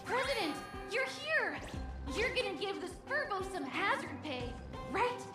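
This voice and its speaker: A little cheeky mascot creature for a video game. The voice is extremely high, cute and whiny. It rings with room echo over music. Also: female voice, very animated, a little slow, heavily acted. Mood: whiny, relieved. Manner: squeaky, cutesy, third-person whining. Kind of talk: scripted video game voice acting.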